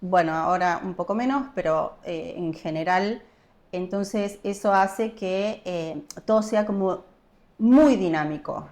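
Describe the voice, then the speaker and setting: Relaxed Argentine woman. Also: medium pitch. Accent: Argentine Spanish. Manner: relaxed, searching for words, pausing often. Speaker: guest, property investor. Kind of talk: casual podcast interview.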